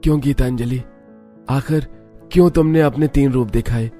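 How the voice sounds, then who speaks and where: A man's voice: medium pitch, normal speed, dark tone, faint music behind, pitched above the performer's own voice, close to an everyday voice. A man voicing a heartbroken young husband pining for his wife, pleading and sorrowful in cartoon melodrama. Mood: anguished, pining. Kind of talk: melodramatic cartoon story dialogue.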